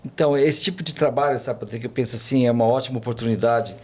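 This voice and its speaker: Fast-talking man telling stories. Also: mid-range voice, darkish tone, breathy edge. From Brazil. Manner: rambling, many fillers and restarts. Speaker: podcast guest, tour guide. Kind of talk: casual chat, storytelling.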